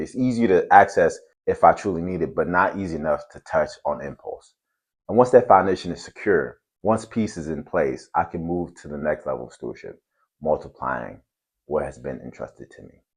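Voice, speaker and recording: A man from Cameroon hosting a faith and finance channel, giving an earnest, steady talk to camera. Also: very deep voice, slightly rough.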